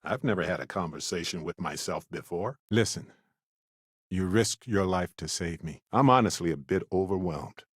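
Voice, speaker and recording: Deep-voiced, wry space explorer. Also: male voice, animated, moderate pace, slightly rough, no echo, clean studio sound. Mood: wry, curious. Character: warm, witty, adventurous male space explorer companion. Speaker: video game voice actor. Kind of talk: acted video game companion dialogue.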